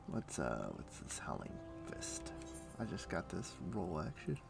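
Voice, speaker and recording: A man's voice: deep voice, huge pitch swings, measured pace, vocal fry. A man with a morning voice giving casual live commentary on a game stream, chatting informally to viewers in gamer slang. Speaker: game livestreamer. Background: music.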